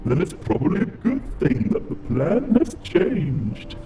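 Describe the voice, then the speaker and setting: A man's voice: on the high side, wildly swooping pitch, a little slow, echoey room, recorded from a distance, loud music behind the voice, low-quality recording. A man playing a cold, menacing alien robot commander bent on conquest in an audio drama, speaking in formal, grandiose villain style. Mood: smug, calculating.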